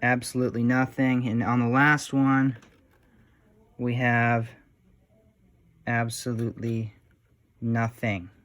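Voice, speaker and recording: Man, casual repair narration, informal, hesitant, thinking aloud, medium pitch. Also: level tone, slow, long pauses, a bit bright. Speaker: hobbyist restorer presenting a repair.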